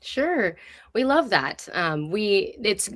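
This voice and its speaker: A woman's voice: mid-range voice, sing-song, slow pace, fairly bright tone. Upbeat woman hosting a live show. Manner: upbeat, enthusiastic. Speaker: host. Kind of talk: friendly live online show presenting and interviewing.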